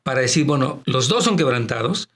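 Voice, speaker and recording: A man's voice: mid-range voice, expressive, brisk, fairly bright tone. A man with a Latin American Spanish accent and a strong, projecting voice, speaking as a pastor hosting a church program and explaining his views conversationally to his brethren. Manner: addresses listeners as brethren, explanatory. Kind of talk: conversational religious and political commentary.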